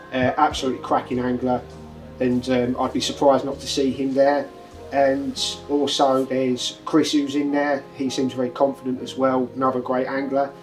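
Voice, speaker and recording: A man's voice: mid-range voice, rough recording. A man from Lincolnshire answering interview questions, somewhat hesitant, with fillers. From Lincolnshire, England. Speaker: angler competitor. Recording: faint music behind.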